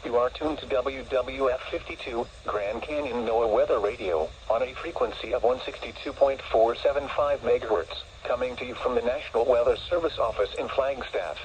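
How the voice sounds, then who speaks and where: A man reading scripted weather radio station identifications in a formal, measured way, like a public service announcer. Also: medium pitch. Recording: muffled, noisy weather radio broadcast.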